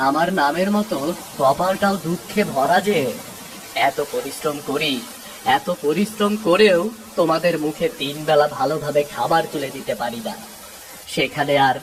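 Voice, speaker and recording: Woman playing a low-voiced, weary poor village father. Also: moderate pace, slight background noise, close to an everyday voice. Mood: sorrowful, helpless. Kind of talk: cartoon voice acting. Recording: music under the voice.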